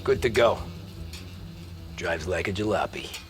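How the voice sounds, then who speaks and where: A man's voice: deep voice, measured pace. A man playing a cool, businesslike young mob driver with dry wit and an American accent in a video game. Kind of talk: video game cutscene acting. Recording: music under the dialogue.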